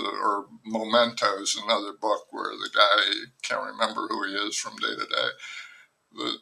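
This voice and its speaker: A gravelly older man speaks slowly and thoughtfully, looping back on himself as he recalls things. His voice is low and bright-edged, with a dry, self-deprecating manner. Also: low-quality recording. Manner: slow, musing, self-deprecating, with many pauses and restarts. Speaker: novelist guest. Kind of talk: reflective conversational interview.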